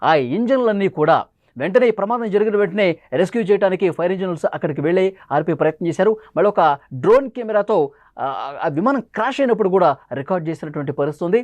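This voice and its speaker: A man's voice: high voice, expressive, quick pace, darkish tone, dry recording, highs cut off. A man presenting dramatic news commentary, emphatic and repeating phrases for stress.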